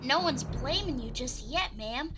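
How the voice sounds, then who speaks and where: An actress playing an earnest, caring detective's assistant in a radio drama. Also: female voice, high voice, animated, unhurried, music in the background. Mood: gentle, curious.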